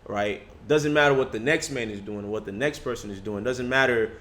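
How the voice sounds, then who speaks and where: A man's voice: mid-range voice, brisk, fairly bright tone. A young man talking in a casual podcast discussion, reflective and full of restarts. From Nigerian family background. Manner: reflective, with frequent restarts and "you know".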